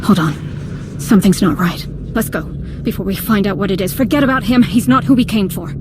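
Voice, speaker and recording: Woman playing a wary adventurer, medium-pitched voice. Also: brisk, noisy background, close to an everyday voice. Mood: tense. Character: alert, intuitive female adventurer, frank and wary. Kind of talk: scripted video game acting. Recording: music behind the voice.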